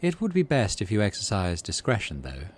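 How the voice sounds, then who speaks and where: A man voicing a polite, mirthful male alien from a game, speaking in formal, measured phrases. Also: lowish voice, wildly swooping pitch, breathy edge, full character voice. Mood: earnest, discreet.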